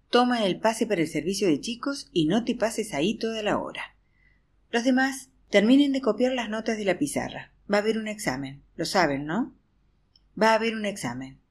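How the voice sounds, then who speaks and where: Husky woman reading an audiobook aloud. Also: mid-range voice, darkish tone. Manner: acts out a weary teacher's classroom chatter. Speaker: audiobook narrator.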